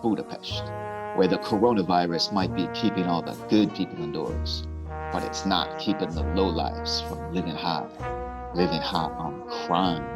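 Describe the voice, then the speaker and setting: A man with a very low voice narrates a hard-boiled noir spoof for radio. His delivery is deadpan and dramatic, with music playing clearly behind him. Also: normal speed. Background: music under the voice.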